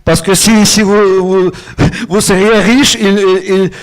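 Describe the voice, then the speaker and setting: A middle-aged man from Ukraine, a writer guest speaking halting, non-native French on a book-fair panel and growing heated at times. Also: high voice, steady tone. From Ukraine, of Russian origin.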